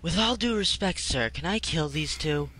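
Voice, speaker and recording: A man's voice: on the high side, lively intonation, moderate pace, bright, forceful tone, no room sound, low-quality recording. A man voicing a bitter, sardonic soldier fed up with idiot partners in an anime parody. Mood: indignant. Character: exasperated, hateful soldier stuck with idiot partners. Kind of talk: comedic cartoon parody dubbing.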